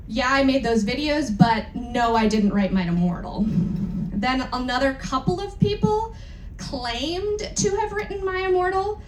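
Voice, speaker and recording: A woman hosting a live event, giving an informal, candid and irreverent introduction to an audience. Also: a little high. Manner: candid, irreverent, casually sweary. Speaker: event host introducing a dramatic reading. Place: indoor event room on a cruise. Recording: roomy live event sound with noticeable noise.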